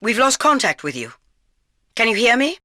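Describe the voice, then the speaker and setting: A woman's voice: on the high side, sing-song, normal speed, noisy background. An actress playing an urgent, authoritative female spy chief directing agents in a video game. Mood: commanding.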